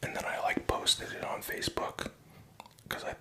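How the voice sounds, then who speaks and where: A young man whispering a rambling personal story, profane and self-mocking. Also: normal speed.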